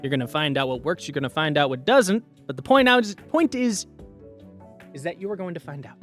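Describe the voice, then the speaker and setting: A man with a fairly high, lively voice leads an informal workshop. His delivery swings widely in pitch and is conversational and emphatic, with light music behind him. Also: a little fast. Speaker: voice acting workshop presenter. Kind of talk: informal instructional presentation.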